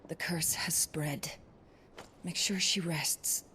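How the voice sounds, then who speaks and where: Brisk, lowish-voiced stern healer. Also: female voice, fairly even intonation, whispered. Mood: concerned, firm. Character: stern, caring female healer. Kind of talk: dramatic video game cutscene acting.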